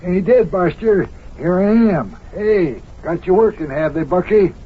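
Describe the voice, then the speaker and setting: An actor playing a bossy, folksy small-town mayor in an old-time radio comedy, with blustery, ungrammatical speech. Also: male voice, sits high, expressive, a little slow, breathy edge, narrow, tinny audio, heavily acted. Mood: hearty. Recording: thin, noisy old radio recording.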